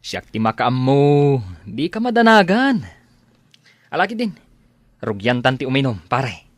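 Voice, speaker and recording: A man's voice: mid-range voice, very animated, unhurried, no room sound, clean studio sound. A man with an Ilocano accent playing a smitten, bashful young suitor in an audio drama, hesitant and stammering. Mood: smitten, eager. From Philippines.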